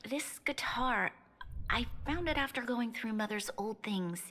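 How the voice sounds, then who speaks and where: A formal, soft-spoken young leader. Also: female voice, fairly high pitch, lively intonation, a little slow. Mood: gentle. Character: composed young woman leader. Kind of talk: game voice acting.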